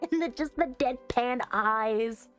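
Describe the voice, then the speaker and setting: A woman streamer giving casual, playful let's-play commentary on a visual novel, reacting out loud and reading game dialogue aloud. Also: fairly high pitch, slow, faint music behind. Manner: playful, reactive, exclaiming and teasing.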